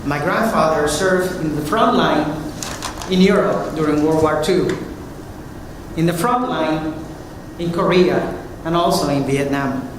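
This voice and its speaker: A man's voice: fairly high pitch, a little slow, background noise. Earnest man testifying. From Puerto Rico. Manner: earnest, reading a prepared statement. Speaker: hearing witness, military veteran. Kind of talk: formal testimony. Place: large hearing room. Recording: echoey hearing room from far off.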